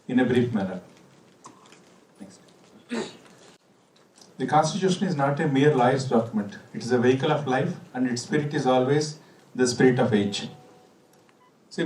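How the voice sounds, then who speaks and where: A man's voice: mid-range voice, darkish tone, distant mic. Indian man presenting in a noisy, echoey hall. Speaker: company legal executive presenting. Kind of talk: explanatory presentation. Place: indoor function hall with PA.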